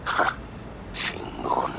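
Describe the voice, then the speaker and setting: An actor playing a brash young street gunman and buddy in a video game, talking casual slang. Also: very slow. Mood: amused. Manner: casual slang sprinkled with Spanish. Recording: loud ambient street and vehicle noise.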